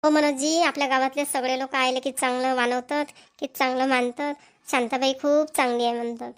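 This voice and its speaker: A woman's voice: sits high, little pitch movement, fairly quick, natural voice. A woman voicing affectionate village women in a family cartoon, chatty and homely, with a rural Maharashtrian accent. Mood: respectful, gentle. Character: affectionate village women, doting grandmother and dutiful daughter-in-law. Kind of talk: Marathi family cartoon voice acting.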